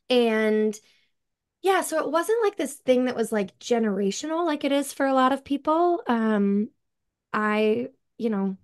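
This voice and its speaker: A woman with an upstate New York accent speaks as a podcast guest at a mid pitch. She talks casually and openly, with plenty of fillers as she recalls her past. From upstate New York, USA. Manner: chatty, many fillers like "like" and "um". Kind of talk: casual interview chat.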